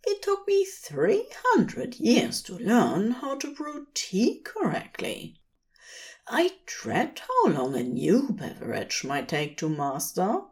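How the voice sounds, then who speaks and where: A woman's voice: mid-range voice, huge pitch swings, slow, voice pushed up. A woman voicing a fussy, tender old male angel who speaks in prim, wordy, old-fashioned phrases. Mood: mock dread.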